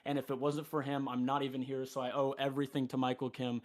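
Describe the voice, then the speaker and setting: A man's voice: mid-range voice, level tone, fast talker, a little mellow. A man voice actor talking on a video call, earnest and joking, rambling a bit. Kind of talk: casual guest talk.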